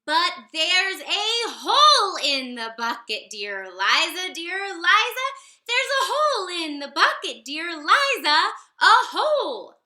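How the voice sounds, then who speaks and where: Female children's storyteller, high and unhurried, expressive sing-song read-aloud, roomy echo. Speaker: storyteller reading a picture book aloud. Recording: roomy, echoey sound.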